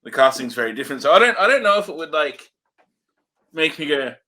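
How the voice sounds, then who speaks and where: A man co-hosting a film review show, speaking casually in a tentative, measured way. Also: on the high side, big pitch swings, pushed and bright.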